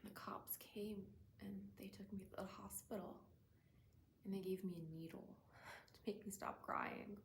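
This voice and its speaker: A woman playing a shaken young woman haunted by cruelty she witnessed, in a halting, emotional dramatic stage monologue. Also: medium pitch, steady tone. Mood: bleak, quiet. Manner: halting, hushed and breathy, swelling into anguished cries. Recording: roomy echo, faint background noise.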